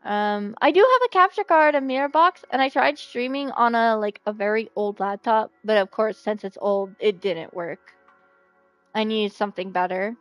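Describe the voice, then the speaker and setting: A woman chatting casually as she livestreams a game, with a playful, expressive way of talking that readily turns to pleading and exclaiming. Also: mid-range voice, normal speed, no echo, highs cut off. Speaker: game streamer. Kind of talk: casual livestream chat over gameplay. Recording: music behind the voice. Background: music.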